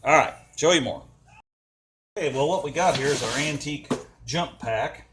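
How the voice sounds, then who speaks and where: A man's voice: medium pitch, normal speed, noisy background. An older man, talking casually and colloquially to himself as he narrates a hands-on repair. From United States. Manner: folksy, colloquial, muttering to himself while working. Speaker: DIY mechanic, video host.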